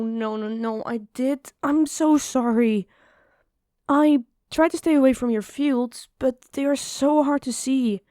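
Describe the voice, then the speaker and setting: Gentle giantess played by a woman, higher female voice, polite and earnest, hesitant phrasing, dry fantasy roleplay sound. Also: dry recording, natural voice. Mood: flustered, apologetic. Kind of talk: fantasy audio roleplay, ASMR.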